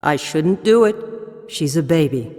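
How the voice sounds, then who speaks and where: A woman's voice: deep voice, animated, normal speed, warm tone, no echo, studio quality. A woman acting a tough but kindly horse trader in a full-cast audiobook, blunt, practical and no-nonsense. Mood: wary musing.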